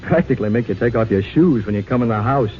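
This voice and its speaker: Actor as folksy American husband, muffled radio. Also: male voice, mid-range voice, quick pace, lightly acted. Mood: folksy, pleased. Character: folksy, satisfied husband chatting in an ad. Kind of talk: 1940s radio advertising dialogue. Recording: muffled, noisy old radio broadcast.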